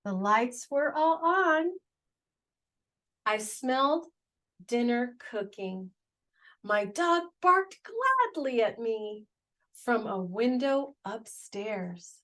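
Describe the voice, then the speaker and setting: A woman who hosts a children's storytime, reading a picture book aloud in an expressive, animated way. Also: a little high. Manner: animated, unhurried delivery. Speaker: children's storytime host, reader.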